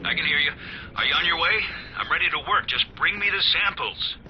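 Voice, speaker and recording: A man's voice: fairly high pitch, expressive, fairly quick, slight background noise, lightly acted. A man playing a dry, impatient doctor in a video game, speaking over a radio. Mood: wry, tense. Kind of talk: video game cutscene acting. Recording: dull radio link, music behind.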